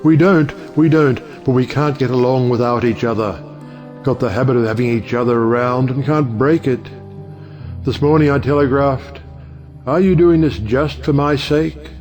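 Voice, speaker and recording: An actor playing a jovial, sentimental older judge in an audio play, declaiming in a drawn-out, theatrical way. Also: male voice, mid-range voice, darkish tone, lo-fi sound, faint music behind, close to an everyday voice. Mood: jovial, confiding.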